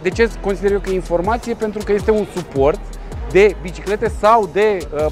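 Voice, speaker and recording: A man in his thirties presenting a car meet outdoors, lively and joking as he talks. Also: sits high. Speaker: car show host. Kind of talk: casual on-location presenting.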